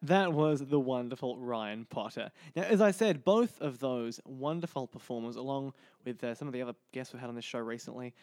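A man's voice: medium pitch, brisk, a little mellow, no echo, studio quality. A man hosting a podcast in an upbeat, promotional way. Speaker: podcast host. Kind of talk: podcast hosting, interview and sign-off.

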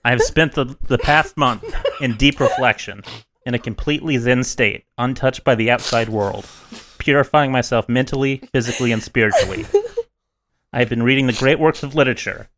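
Deadpan man co-hosting a podcast. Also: medium pitch, a little fast. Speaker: podcast co-host. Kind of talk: casual podcast banter.

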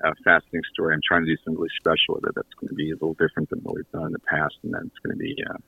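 A low-pitched man gives a casual radio interview as a guest. He speaks haltingly, feeling for his words, over a thin, phone-like connection. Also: little pitch movement, vocal fry, husky. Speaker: guest, audio theater creator and writer. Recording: echoey, tinny phone-line connection.

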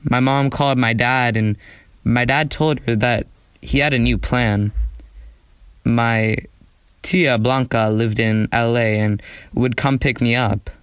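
A man's voice: mid-range voice, steady tone, takes it slowly, fairly bright tone, clean tone, no room sound. A young man narrating his own story in a wry, reflective way. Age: young adult. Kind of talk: scripted narration of a memoir drama.

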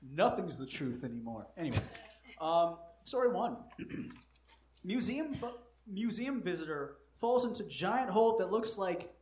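A man's voice: high-pitched, big pitch swings, unhurried, darkish tone. A man hosting a live comedy quiz, joking, swearing and laughing often. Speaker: comedy show host. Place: live comedy venue with audience. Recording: echoey live room, faint noise.

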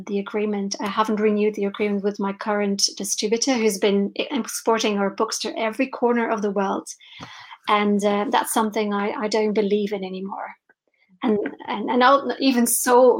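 A woman from Belgium, a children's book publisher, talking on a video call with many restarts and frequent laughs. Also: mid-range voice. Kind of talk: remote interview.

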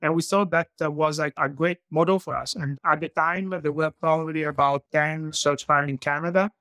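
A man's voice: fairly high pitch, fairly even intonation, normal speed, sharp tone, no room sound. A man in his forties from Italy with an Italian accent, a guest telling his story in a loose, conversational way. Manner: loose, sprinkled with "like", non-native grammar. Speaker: guest, search fund entrepreneur. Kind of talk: conversational interview.

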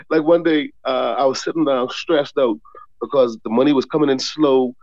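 A man from the Bahamas, a guest author, chatting casually in an interview, often repeating words as he tells animated stories. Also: mid-range voice, moderate pace, no room sound, muffled recording.

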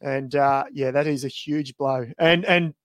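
A man's voice: medium pitch, fairly even intonation, a little slow, dry recording, clean studio sound. A man co-hosting a cycling podcast, chatting in an easygoing way with restarts and short laughs. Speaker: podcast co-host, former pro cyclist. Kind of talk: casual podcast chat.

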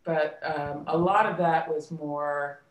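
A low-pitched American woman speaks as a guest in a casual interview. She recounts her own story reflectively, pausing to rephrase. The sound is roomy and echoey. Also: steady tone. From United States, suburbs of Washington DC, then California. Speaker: interview guest, theater actress and director.

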